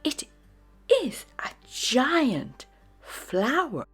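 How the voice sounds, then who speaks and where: Very slow, expressive woman storyteller. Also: high voice, faint music behind. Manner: dramatic, with hushed phrases and sudden exclamations. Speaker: storyteller and host of a children's read-aloud show. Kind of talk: expressive children's storybook read-aloud.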